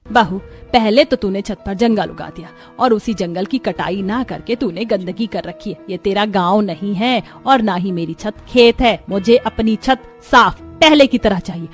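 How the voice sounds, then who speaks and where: A woman's voice: medium pitch, moderate pace, faint music behind, natural voice. A woman voicing a stern, middle-aged mother-in-law in a cartoon moral story, emphatic and scolding.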